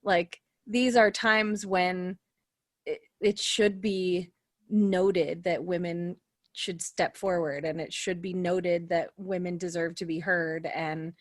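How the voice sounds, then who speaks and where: A woman's voice: lowish voice, steady tone, takes it slowly, dry recording, studio quality. A woman on a podcast panel, talking casually and earnestly about personal views in a conversational way.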